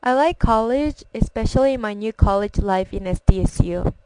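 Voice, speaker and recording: A woman's voice: on the high side, moderate pace, warm tone, smooth, no echo, low-quality recording. A young woman from Korea, a college student with a strong Korean accent whose first language is Korean, reading a personal introduction carefully. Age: young adult.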